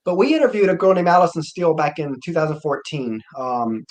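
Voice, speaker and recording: A man's voice: on the high side, expressive, brisk, a crowd in the background. A man hosting an interview show, asking long, earnest questions in a casual tone. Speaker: show host.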